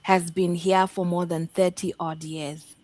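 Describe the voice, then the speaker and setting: Low-voiced woman hosting a podcast. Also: level tone, unhurried, darkish tone, no echo. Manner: conversational, many "you know" tags. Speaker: podcast host. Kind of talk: casual podcast conversation.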